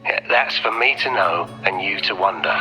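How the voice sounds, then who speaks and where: A man playing a cagey, casual informant on a radio call in a game, halting and evasive. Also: low-pitched, pushed and bright, poor audio. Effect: radio call filter. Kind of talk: dramatic game dialogue. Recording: band-limited radio call, music underneath.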